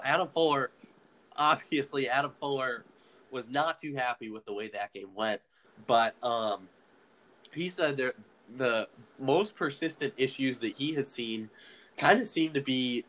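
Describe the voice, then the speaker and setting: Halting young male sports podcast host. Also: mid-range voice, fairly bright tone, low-quality recording. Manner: halting, many fillers and restarts. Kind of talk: casual sports podcast chat.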